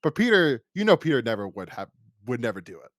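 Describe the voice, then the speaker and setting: A man co-hosts a casual review podcast in an animated, medium-pitched voice. His intonation moves around a lot as he rambles and slips into imagined quotes. The sound is dry, with no room echo. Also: quick pace. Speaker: podcast co-host.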